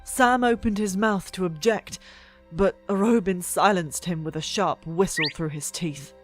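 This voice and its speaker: A narrator reading fantasy fiction in a dramatic, deliberate and expressive style. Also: medium pitch. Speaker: audiobook narrator. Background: soft background music.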